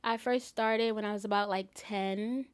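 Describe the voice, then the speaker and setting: A woman's voice: mid-range voice, fairly even intonation, normal speed, smooth. Chatty young woman from the Bronx. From New York, the Bronx. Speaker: singer being interviewed. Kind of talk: casual interview chat.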